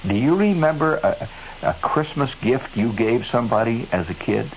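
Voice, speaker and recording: A man's voice: medium pitch, expressive, normal speed, dull audio. A middle-aged American man hosting a radio show, telling a wry, digressive monologue and tossing asides to the studio crew. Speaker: radio host. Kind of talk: rambling late-night radio monologue. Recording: hissy 1970s AM radio broadcast.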